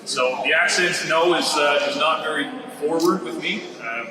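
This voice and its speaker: Earnest canadian guy explaining stuff, bit higher voice, sounds far off. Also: moderate pace, over a noisy crowd. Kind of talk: casual live chat. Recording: echoey room, far from mic, loud background noise.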